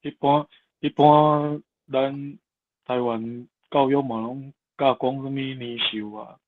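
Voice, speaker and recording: Man, Taiwanese accent, mid-pitched, casual explaining, thin phone-like audio. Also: steady tone, slow pace, slight background noise. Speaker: discussion participant.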